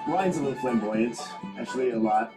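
A reality show contestant in a confessional, recounting events haltingly. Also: male voice, mid-range voice, lively intonation, reverberant. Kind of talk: confessional interview. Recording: TV audio replayed with loud guitar music.

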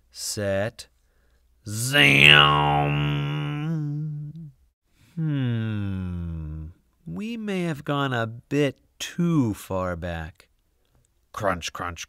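A man reading a children's picture book aloud, expressive and theatrical, with dramatic drawn-out emphasis. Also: fairly low pitch, no room sound, studio quality. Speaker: storybook reader.

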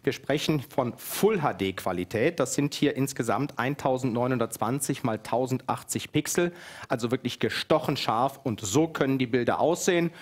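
A man in his forties hosting a TV shopping show in a studio, talking straight to the viewers in a persuasive, husky voice on a headset mic. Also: medium pitch, expressive, normal speed. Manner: persuasive, addresses viewers directly. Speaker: TV shopping host. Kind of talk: teleshopping presentation. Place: TV studio set.

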